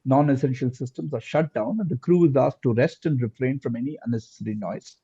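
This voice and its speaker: Male quiz host, mellow husky voice, deliberate question reading, close dry sound with dull lo-fi call audio. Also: mid-range voice, no echo. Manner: deliberate, repeats answers and phrases. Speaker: quizmaster.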